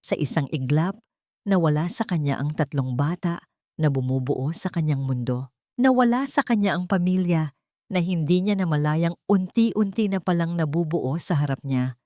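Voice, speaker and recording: Low-pitched woman narrating drama, solemn. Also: animated, normal speed, dark tone, no echo, studio quality. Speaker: audiobook narrator. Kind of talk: scripted dramatic story narration.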